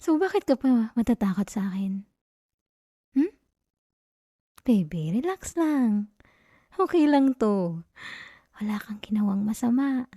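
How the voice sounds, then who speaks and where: A woman playing a teasing, possessive young yandere girlfriend in an intimate ASMR roleplay, soft and breathy with a Filipino accent, coaxing and often slipping into whispers. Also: fairly high pitch, animated, no room sound, clean studio sound, full character voice. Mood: soothing, possessive. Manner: slow, coaxing, giggly teasing. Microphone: close, dry ASMR microphone.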